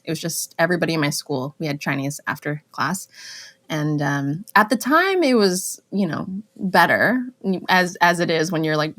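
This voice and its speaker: A woman's voice: low-pitched, a bit bright. Chatty young woman telling stories. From Canada. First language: Cantonese. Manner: chatty storytelling, laughs often, many fillers. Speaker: actress guest. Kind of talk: casual interview chat.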